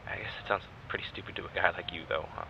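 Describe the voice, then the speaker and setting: A halting sardonic test subject in an audio drama, really low voice, muffled lofi mic. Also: male voice, fairly even intonation, vocal fry. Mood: subdued, wistful. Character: wry, hesitant male test subject recalling memories. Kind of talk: naturalistic audio drama acting. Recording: dull lo-fi microphone, faint noise.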